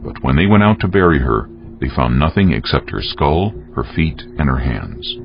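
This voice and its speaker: A man giving solemn, measured, scripted dramatic narration of scripture as a storyteller. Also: extremely low pitch, sing-song, gravelly. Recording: dull audio with music underneath.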